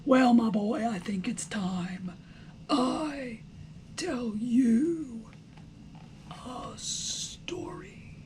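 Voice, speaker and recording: Woman playing a slow, pompous father worm. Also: a little high, lively intonation, a little mellow, a little hiss or hum, full character voice. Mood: knowing, patient. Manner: pompous, deliberate, mock-patient. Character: proud, pompous, learned father worm storyteller.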